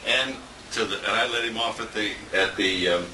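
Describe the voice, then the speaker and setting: A man's voice: on the low side, normal speed. A man giving an informal tribute speech at a dinner, telling rambling, chuckling anecdotes, picked up by a handheld camera. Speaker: radio colleague giving a tribute. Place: restaurant dinner event, indoors. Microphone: handheld compact camera at a distance. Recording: distant handheld camera, roomy, noisy.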